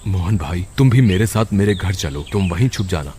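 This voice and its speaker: A man playing an anxious village husband in a horror cartoon, earnest and excitable. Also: low-pitched, fairly quick, a little hiss or hum. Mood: worried. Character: anxious village husband confronting his witch wife. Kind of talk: Hindi horror cartoon acting. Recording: music behind the voice.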